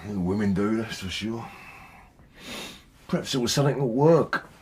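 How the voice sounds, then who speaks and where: An actor playing a brutish working-class London husband in a radio drama, blunt and colloquial, with a coarse, falsely soothing manner. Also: male voice, lowish voice, moderate pace, slight background noise, close to an everyday voice. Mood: dismissive.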